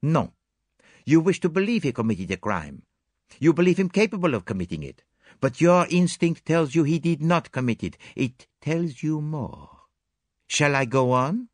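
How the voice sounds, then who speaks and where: A shrewd, composed detective in an audiobook, played by a male reader. He speaks formally and with gentle insistence, his pitch often lifted and moving widely. The sound is dry and close. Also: sing-song, moderate pace, no echo. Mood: insistent. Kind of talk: audiobook character dialogue.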